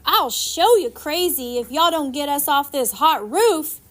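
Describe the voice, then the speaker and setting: A woman voicing an angry, heavy-set Southern woman who hollers in a loud, squeaky, combative way in a story. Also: lively intonation, unhurried. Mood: furious.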